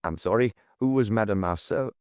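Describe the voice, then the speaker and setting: A man voicing a gruff, skeptical police chief inspector in an audiobook, with blunt, probing questions. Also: fairly low pitch, a little fast, darkish tone, no echo, studio quality, natural voice. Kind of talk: audiobook character dialogue.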